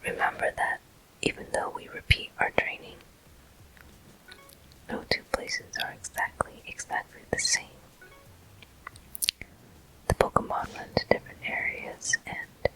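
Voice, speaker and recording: A person whispering ASMR commentary over a video game walkthrough in a hushed, unhurried, explanatory way, pausing between short phrases. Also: poor audio. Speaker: gameplay host.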